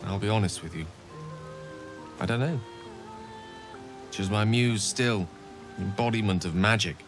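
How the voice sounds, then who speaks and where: An actor voicing an eloquent, theatrical human wizard in a video game, speaking in articulate, wordy, confessional dialogue. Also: male voice, fairly low pitch, measured pace, music in the background, lightly acted. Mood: reflective, earnest.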